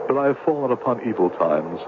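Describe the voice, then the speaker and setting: A man's voice: medium pitch, expressive, fast, lightly acted. An actor playing a grandiloquent, down-on-his-luck con man who poses as a doctor in a 1970s radio drama, speaking in a florid, theatrical way. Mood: mournful. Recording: tinny, noisy 1970s radio recording.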